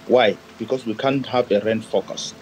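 A man's voice: lowish voice, fairly even intonation, moderate pace, a little mellow, highs cut off, faint music behind. A man with a Nigerian accent, head of a young farmers' network, speaking persuasively in an interview with rhetorical questions. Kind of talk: interview answers. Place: small indoor room.

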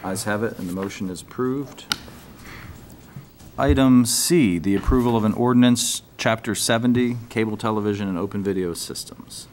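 A man chairing a city council meeting, briskly calling motions and votes into a desk microphone. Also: lowish voice, a little mellow, slight background noise. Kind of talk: formal meeting procedure. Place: council chamber.

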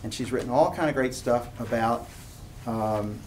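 An older man lectures to a college class in a medium-pitched voice. He talks conversationally and informally, tossing in short check-in questions as he explains. The classroom recording carries some faint background noise. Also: normal speed, poor audio. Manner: conversational, animated gesturing, rhetorical check-ins. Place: university classroom, standing at lectern.

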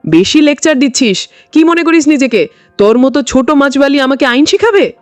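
A woman's voice: high-pitched, expressive, fairly quick, dry recording, faint music behind, pitched above the performer's own voice. A woman voicing an arrogant, bullying male police inspector in an audio story, domineering and contemptuous. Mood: furious.

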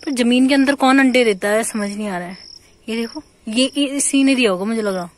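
A woman's voice: on the high side, a little fast. A young woman vlogging casually outdoors on a phone, chatting to the camera about what she is doing. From India. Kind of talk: casual vlog chat. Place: outdoors at home and in a field.

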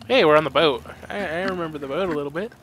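A man's voice: fairly high pitch, fast talker, a bit bright, husky. A man giving casual gameplay commentary, reacting with grumbling and swearing as he plays. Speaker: video game player.

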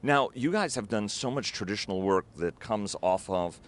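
A middle-aged male interviewer with a low voice asks long, well-informed questions. He is outdoors at a waterfront and holds a handheld mic, with faint noise in the background. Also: animated, moderate pace. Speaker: interviewer, editor. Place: outdoors on a wooden ship at a city waterfront.

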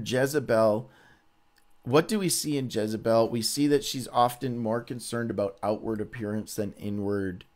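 A man reading the Bible aloud as a teacher, dramatizing the quotes and adding informal commentary. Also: medium pitch. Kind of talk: animated Bible read-along with casual commentary.

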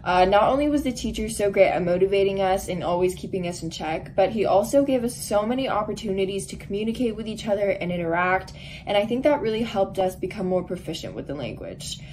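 A woman's voice: mid-range voice, expressive, fast, darkish tone, a little hiss or hum. A teenage girl, a student, giving an earnest, friendly testimonial from her bedroom, with a few ums. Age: teens. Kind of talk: prepared testimonial. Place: bedroom at home, indoors.

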